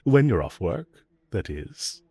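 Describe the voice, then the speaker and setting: A man acts out a flirtatious male villain in a romantic audio roleplay, very low and husky, recorded close and dry. He teases and provokes his hero rival, taking his time with slow, drawn-out lines that swoop playfully. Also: big pitch swings, mellow, no room sound, heavily acted. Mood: smug, flirtatious. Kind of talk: romantic audio roleplay acting addressed to listener.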